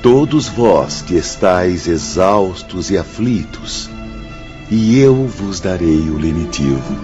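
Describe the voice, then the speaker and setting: A man's voice: low-pitched, animated, slow. An actor playing a grave, devout male spirit in a radio drama. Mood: reverent. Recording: muffled audio with faint music.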